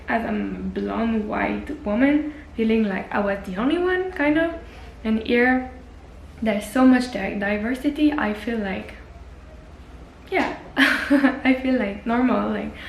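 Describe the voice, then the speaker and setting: A woman's voice: on the high side, reverberant, slight background noise, low-quality recording. A young woman whose first language is French, chatting earnestly in a personal travel vlog, often restarting her sentences. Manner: earnest, many restarts and fillers. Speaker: travel vlogger. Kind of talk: casual personal vlog talk.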